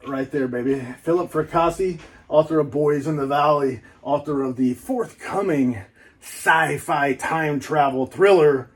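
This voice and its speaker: A man's voice: on the high side, breathy edge. A man doing a casual, folksy book unboxing vlog, rambling playfully with repeats and little asides.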